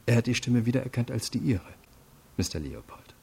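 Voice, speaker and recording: An actor playing an unyielding deputy prosecutor in a radio crime drama, laying out facts in a firm, deliberate way. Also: male voice, mid-range voice, expressive, creaky, warm tone, slightly rough. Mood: firm, methodical. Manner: matter-of-fact, deliberate, firm. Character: methodical, unyielding male deputy prosecutor interrogating.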